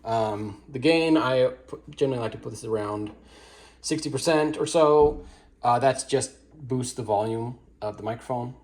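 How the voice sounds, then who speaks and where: A man giving a casual how-to demonstration on audio setup for dance classes, relaxed and rambling with blunt opinions. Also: mid-range voice, normal speed. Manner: relaxed, rambling, many fillers and restarts. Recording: echoey room.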